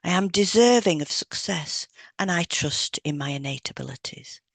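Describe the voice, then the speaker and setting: Woman podcast host and therapist, soothing and measured, with a creaky edge and a very dry sound. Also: fairly low pitch, lively intonation, no room sound. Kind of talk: guided relaxation script reading and scripted podcast discussion.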